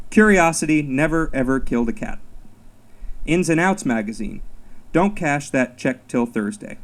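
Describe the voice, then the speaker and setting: Male author reading own humorous essay live; medium pitch, swinging intonation, deliberate mock-serious phrasing. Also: poor audio. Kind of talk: live literary reading of a first-person comic essay.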